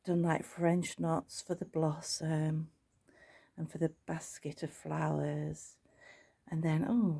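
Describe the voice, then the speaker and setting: A middle-aged English woman gives a gentle home tour, sniffly from hay fever and often dropping to a half-whisper. Her voice is mellow and a little gravelly, with a husky edge. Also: fairly low pitch. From England. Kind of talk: casual home tour narration. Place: cottage bedroom, indoors.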